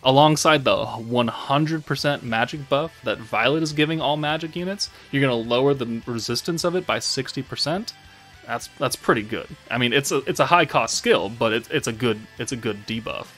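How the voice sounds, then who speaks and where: A man's voice: medium pitch, moderate pace, fairly bright tone, soft background music. A man giving casual, informal game commentary as a channel host, rambling and thinking aloud as he goes.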